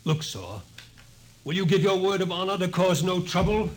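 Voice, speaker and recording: An actor playing a cool, authoritative scientist who explains and gives orders in an old-time radio drama. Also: male voice, high-pitched, expressive, moderate pace. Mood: commanding, stern. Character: cool, commanding male scientist at an observatory. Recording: hissy old radio show recording.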